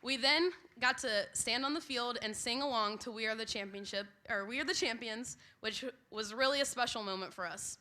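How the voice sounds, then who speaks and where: A young woman, a college softball player, giving a grateful, upbeat prepared speech at a banquet. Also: fairly high pitch, moderate pace, few pauses, a little hiss or hum. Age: young adult. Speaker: student athlete.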